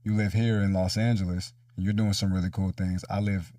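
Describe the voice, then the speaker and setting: A man's voice: low-pitched, level tone, brisk, mellow, dry recording, studio quality, far from the mic. A man hosting a casual, reflective conversational interview in informal language. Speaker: interviewer, show host.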